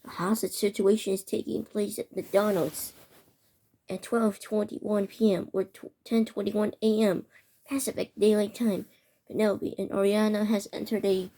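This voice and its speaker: A woman's voice: medium pitch, mellow. A woman reading a homemade emergency alert broadcast, stumbling and restarting often. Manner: halting, stumbling over words. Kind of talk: amateur mock emergency broadcast narration.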